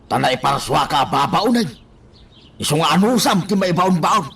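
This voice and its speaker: A man's voice: on the high side, expressive, normal speed, gravelly, a bit bright, breathy edge, far from the mic, full character voice. A man playing a questioning, suspicious character in an Ilocano radio love drama. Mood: chiding.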